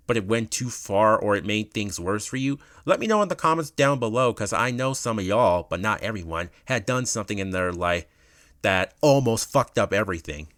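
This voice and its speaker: A man's voice: fairly low pitch, a bit bright, no echo, clean studio sound. A man telling a personal story in casual storytime commentary, with informal, slangy, swear-heavy phrasing.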